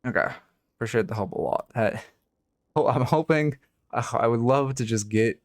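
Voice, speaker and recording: A man talking casually on a live stream into his streaming microphone. He thinks aloud, swears now and then, and speaks in a low voice with high inflections. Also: moderate pace, no room sound. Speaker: game coach and streamer.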